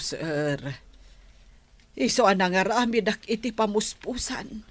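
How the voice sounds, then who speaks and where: A woman acting in a radio drama as a remorseful older grandmother confessing a secret, halting and pleading. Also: on the low side, dry recording.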